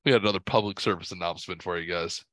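A man's voice: sits low, steady tone, very fast, fairly bright tone, dry recording, studio quality. Stuffy, foul-mouthed sick podcast host man. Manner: crude, profane, rambling. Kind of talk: casual podcast chat.

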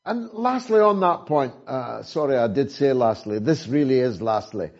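A man from Britain hosting an interview who talks deliberately and asks rhetorical questions. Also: mid-range voice, expressive. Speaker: interviewer, host. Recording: dull lo-fi sound.

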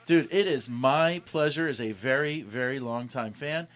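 A man's voice: medium pitch, moderate pace, background noise. A man from Miami hosting a live radio talk show in a casual, jokey way. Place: radio studio.

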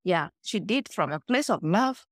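A middle-aged woman with a Cameroonian accent tells personal stories in a lively, animated way, slipping into the voices of the people she quotes. Her voice sits on the low side. The sound is dry and close, with no room echo. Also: unhurried. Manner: animated storytelling, acts out quoted dialogue. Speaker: guest. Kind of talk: conversational interview.

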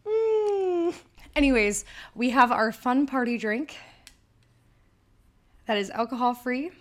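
A woman's voice: high-pitched, animated, takes it slowly. A woman with a Michigan accent hosting a solo podcast, talking in a bubbly, chatty and upbeat way. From Michigan, USA. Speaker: podcast host.

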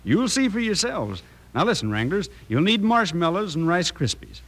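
A folksy cowboy selling cereal on old-time radio. His Western American voice sits fairly high and moves about with eager, animated swings. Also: moderate pace, rough recording. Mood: folksy, enthusiastic. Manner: folksy, enthusiastic.

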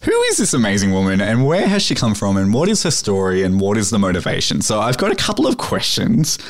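A man's voice: fairly low pitch, very animated, fast. An Australian man interviewing on a podcast in a casual, enthusiastic and complimentary way. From Central Coast, Australia. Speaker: podcast producer acting as interviewer.

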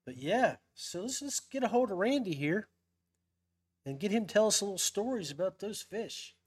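A man's voice: high voice, expressive, normal speed, husky. A man hosting a casual live-stream podcast in a folksy, chummy way. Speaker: podcast host.

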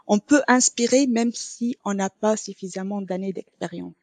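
A young woman speaking French as a non-native, talking as a podcast guest and pausing often on filler sounds. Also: mid-range voice, darkish tone, dry recording. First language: neither French nor English, trilingual. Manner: hesitant, many filler sounds. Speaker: podcast guest, quality coach. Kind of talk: casual interview talk.

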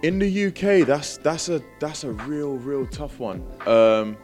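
A man's voice: on the high side, lively intonation, a little slow, a little mellow, soft background music. A man from Britain, bilingual in Greek and English, talking casually and reflectively as a musician being interviewed, with plenty of slang.

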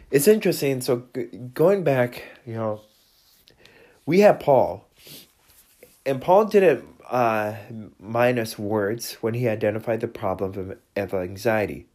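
A man's voice: medium pitch, darkish tone. A man giving a casual, earnest sermon, his voice congested from seasonal allergies. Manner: earnest, conversational, with restarts. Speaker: preacher.

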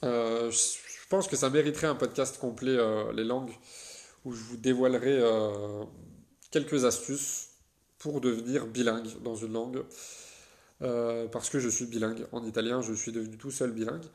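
A man's voice: medium pitch, fairly even intonation, moderate pace, a little mellow. A young man from France talking through his solo podcast, loose and chatty, full of hesitations and restarts. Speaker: podcast host.

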